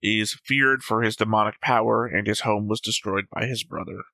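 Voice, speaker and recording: A man's voice: fairly low pitch, fairly even intonation, normal speed, gravelly, pushed and bright, no echo. A man hosting a casual discussion who methodically reads from his notes and is careful not to give anything away. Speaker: reaction show host.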